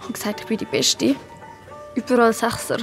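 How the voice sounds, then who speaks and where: A female performer acting a cheeky, quick-witted schoolgirl in a children's radio play. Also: on the high side, animated, creaky, faint music behind. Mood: dismayed.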